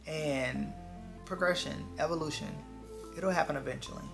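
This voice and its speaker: Twenties vlogger just talking to camera, confiding and earnest, lots of vocal fry, music underneath. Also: mid-range voice, moderate pace. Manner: earnest, confiding, repeats phrases for emphasis. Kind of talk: casual reflective chat to viewers. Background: background music.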